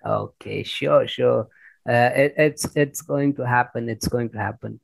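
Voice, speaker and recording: A man's voice: medium pitch, measured pace, vocal fry, dry recording, low-quality recording. A man hosting a conversational interview, often repeating his words and phrases as he goes. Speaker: podcast host interviewing a guest.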